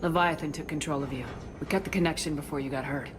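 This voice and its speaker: A woman's voice: low voice, fast talker, noisy background, natural voice. An actress playing a steely but compassionate female space commander in a video game, with a terse, authoritative manner. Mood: steady, businesslike. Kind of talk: cinematic video game dialogue.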